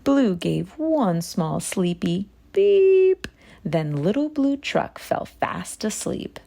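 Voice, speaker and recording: A woman narrating a rhyming children's story in an expressive read-aloud style. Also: medium pitch, takes it slowly, gravelly.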